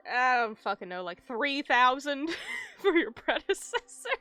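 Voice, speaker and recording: A woman livestreaming a game with casual, expressive commentary full of swearing and exaggerated dismay. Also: high voice, a little slow, smooth. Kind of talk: casual gaming commentary with read-aloud game text. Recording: game music faintly behind. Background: video game music.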